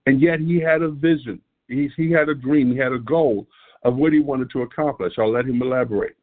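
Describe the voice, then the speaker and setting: Upbeat male call host over the phone. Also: a little fast. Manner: upbeat, promotional pitch. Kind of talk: hosting a weekly conference call. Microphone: telephone. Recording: tinny phone line.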